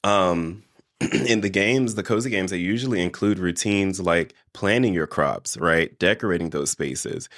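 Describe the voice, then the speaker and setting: A man with a fairly low voice hosts a relaxed solo podcast. He sounds stuffed up and a little nasal from allergies, and he keeps talking straight to his audience in a chatty way. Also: a little slow. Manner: animated, chatty, addresses listeners directly. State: congested from allergies. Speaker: podcast host. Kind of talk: casual solo podcast chat.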